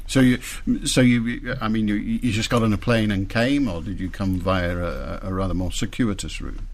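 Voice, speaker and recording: A man presenting a live morning radio interview from a studio. He talks in an animated way, often restarting his questions. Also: lowish voice, moderate pace.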